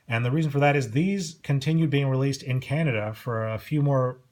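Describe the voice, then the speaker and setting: A man's voice: mid-range voice, brisk, darkish tone. A middle-aged man gives a casual, unscripted show-and-tell as a collector, chatty and prone to digressions. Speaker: record collector presenting collection. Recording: clear background noise, clicks.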